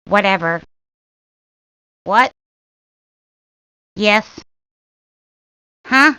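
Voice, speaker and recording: A woman voicing a sullen, bored girl who talks in a reluctant deadpan for a cartoon game. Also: medium pitch, very slow, smooth, no echo, studio quality, heavily acted. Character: sullen, bored, withdrawn girl of few words. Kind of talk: cartoon game character lines.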